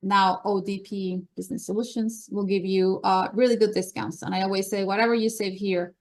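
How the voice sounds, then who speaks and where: A woman with a Colombian accent runs through meeting announcements in an upbeat, chatty way. Her voice sits at a middle pitch. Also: flat delivery, a little fast, darkish tone. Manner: enthusiastic, chatty presenter. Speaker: meeting host.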